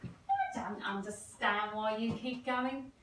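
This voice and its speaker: A woman with an English accent playing a homely, affectionate, gently nagging wife in an amateur play, chatty and fussing. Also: medium pitch, steady tone, slow pace, lightly acted. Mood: chiding. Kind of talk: amateur stage play acting, recorded at home. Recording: roomy, distant mic, faint noise.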